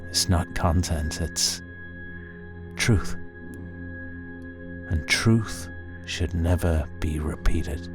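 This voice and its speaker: A man voicing a coldly intense, menacing male killer in a horror audio story, speaking deliberately with ominous pauses. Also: extremely low pitch, heavily acted. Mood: intense conviction. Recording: loud music under the voice. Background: music.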